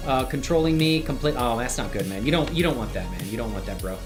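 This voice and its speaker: Low-voiced woman streaming, music behind her. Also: lively intonation, quick pace. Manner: upbeat, addresses viewers as man and bro. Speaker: live streamer, animation tutorial host. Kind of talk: casual live tutorial chat, thinking aloud.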